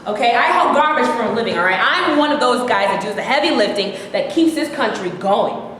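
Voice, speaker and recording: A woman in a staged play reading, playing a large, burly garbage worker who is blunt, loud, proud and confrontational. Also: on the high side, lively intonation, a little fast, far from the mic. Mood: belligerent, taunting. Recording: very echoey hall, clear noise.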